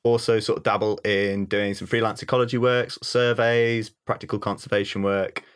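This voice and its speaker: A man's voice: on the low side, a little fast, clear, resonant tone. A young man from Wales, a podcast guest who chats casually and laughs often. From North Wales. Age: young adult. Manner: laughing often, relaxed and chatty, with many "like" and "sort of" fillers. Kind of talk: casual chat.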